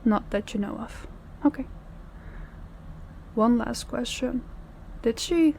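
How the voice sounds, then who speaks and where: A woman acting as a controlling female boss in an audio roleplay, speaking in a measured, polite and quietly pressing way. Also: fairly high pitch, creaky, slight background noise. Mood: questioning. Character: controlling, probing female crime boss, outwardly courteous.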